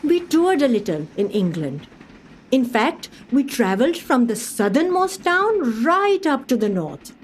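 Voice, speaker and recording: An actress playing a refined, amused Indian woman traveller of the early twentieth century, telling her memoir in a formal, expressive storytelling manner for a radio play. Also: high-pitched, measured pace, a little hiss or hum. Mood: reflective. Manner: expressive, formal, literary phrasing.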